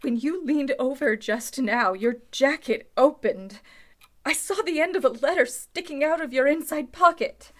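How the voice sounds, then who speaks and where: An actress playing a frightened, wary woman in an old-time radio thriller, with halting, breathless, melodramatic delivery. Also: high-pitched. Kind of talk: Golden Age style radio play acting.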